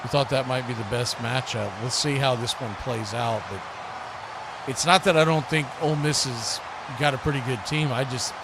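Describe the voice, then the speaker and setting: A man's voice: mid-range voice, moderate pace. An American man giving live, unscripted football commentary as a stream host, in a folksy, conversational way. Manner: conversational, folksy, rises to excited shouts on big plays. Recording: clearly noisy live stream background.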